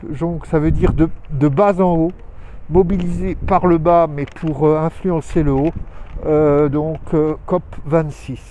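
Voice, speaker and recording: A man's voice: on the high side, steady tone, a little slow, mellow, a little hiss or hum. A man hosting a weekly live video outdoors, talking casually in a rambling, hesitant way with many fillers, recorded on a handheld phone or camera. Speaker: host of weekly live show. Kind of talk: casual live vlog update. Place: outdoors in town streets.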